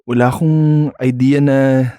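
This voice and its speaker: A man's voice: mid-range voice, fairly even intonation, unhurried, mellow, clean tone, dry recording, close to an everyday voice. Casting: male actor for easygoing young businessman, teasing manner, natural radio drama delivery, dry studio sound. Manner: playful, teasing, chuckling. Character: easygoing, teasing young male stockbroker, a fisherman's boss's son. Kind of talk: conversational radio drama acting.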